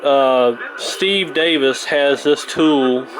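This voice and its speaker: A man's voice: mid-range voice, very slow, music in the background, low-quality recording. A man giving a casual, unscripted repair demonstration in a folksy, rambling, hesitant way. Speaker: host of a vintage radio repair channel.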